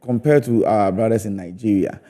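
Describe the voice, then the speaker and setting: A man's voice: lowish voice, a little fast, warm tone. A man with a Ghanaian accent speaking conversationally as a guest in a TV interview, often repeating phrases for emphasis. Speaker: theatre play maker and comedy performer, interview guest.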